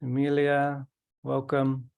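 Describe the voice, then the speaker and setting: A man hosting an online meeting, welcoming each participant calmly and often repeating his words. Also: medium pitch, takes it slowly, vocal fry, smooth. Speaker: host. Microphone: video call.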